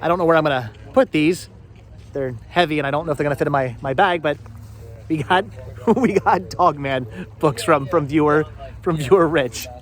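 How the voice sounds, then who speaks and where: A middle-aged man hosting a casual thrifting vlog, chatting haltingly and often repeating his words. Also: on the high side. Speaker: vlog host. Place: out and about at flea market.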